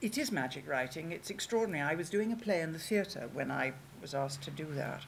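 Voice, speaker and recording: An older actress speaking emphatically on a panel on a dark theatre stage, into a table mic. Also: female voice, low voice, animated, a little fast, creaky, slight background noise.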